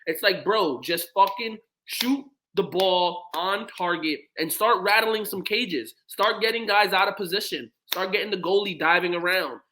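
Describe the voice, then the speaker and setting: A man's voice: high voice, normal speed, few pauses, sharp tone, rough recording. A man co-hosting a casual sports podcast, laid-back and rambling, with the odd swear. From United States. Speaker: podcast co-host.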